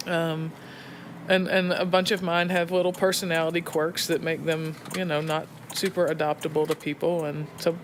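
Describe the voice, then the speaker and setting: A woman's voice: sits low, level tone, moderate pace. A woman talking casually and emphatically as a poetry teacher in an interview. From Alabama, United States. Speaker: poetry teacher and prison arts program head. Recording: location recording with clear vehicle and outdoor noise.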